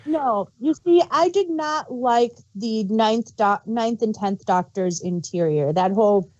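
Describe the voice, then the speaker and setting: A woman's voice: fairly high pitch, slow pace, darkish tone, no echo. A woman co-hosting a podcast, chatting casually and giving her opinions as a fan, on dry, slightly dull audio. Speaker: podcast co-host.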